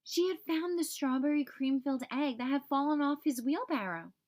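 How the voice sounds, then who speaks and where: A woman reading a children's picture book aloud as an expressive storyteller for young listeners. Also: high-pitched, moderate pace.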